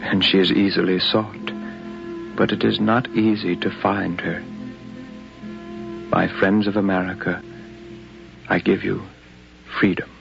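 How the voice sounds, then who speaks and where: An actor playing a solemn, grave male orator with a gravelly voice in a radio drama. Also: low-pitched, flat delivery, measured pace, long pauses, heavily acted. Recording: dull old radio recording with music.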